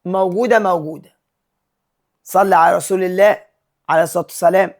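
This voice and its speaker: A man's voice: sits high, fairly even intonation. A male physics teacher with an Egyptian Arabic accent, working through exam problems step by step and mixing English terms and numbers into his Arabic. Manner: mixes English terms and numbers into Arabic, reads questions slowly.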